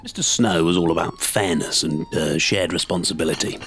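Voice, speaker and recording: An actor playing an avuncular storybook character reminiscing about politics in a chatty, hesitant, gossipy interview. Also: male voice, low-pitched, a little fast, fairly bright tone, faint music behind. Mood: wry reminiscing. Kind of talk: mockumentary interview in audio drama.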